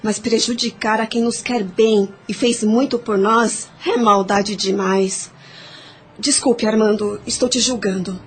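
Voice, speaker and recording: A woman's voice: mid-range voice, fairly even intonation, a little slow, a little hiss or hum, lightly acted. A woman playing a blunt, self-reproaching murderess among dead spirits in an audio drama, frank and reproachful. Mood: reproachful, remorseful. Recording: music under the voice.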